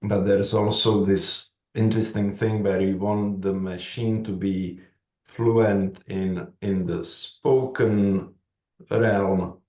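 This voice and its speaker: A man with a Czech accent, speaking as a panelist at a conference, thoughtful and halting with many restarts. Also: lowish voice, flat delivery, a little mellow. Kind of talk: panel discussion remarks. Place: conference room. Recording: echoey room, dull lo-fi sound.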